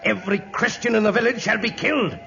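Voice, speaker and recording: An actor playing a menacing, vengeful high priest of a jungle cult in 1950s radio drama style. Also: male voice, fast, creaky, pushed and bright, exaggerated voice. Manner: theatrical, menacing. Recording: muffled old radio recording, organ music behind.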